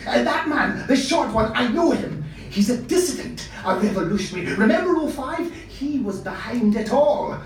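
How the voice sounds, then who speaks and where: A man's voice: high-pitched, sing-song, unhurried. A man voicing a fussy, foreboding older costumier uncle in a story reading, with a hesitant, emphatic delivery. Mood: excited, alarmed. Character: fussy older male costumier, wary, gloomily prophetic uncle. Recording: echoey room, distant mic, faint noise.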